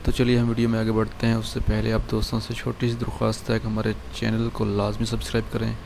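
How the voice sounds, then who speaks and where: A man, hosting a screen-recorded software tutorial and calmly walking through a design file with lots of filler words. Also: fairly low pitch, vocal fry, slight background noise. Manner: calm, unhurried explaining with many fillers. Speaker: channel host showing a design file.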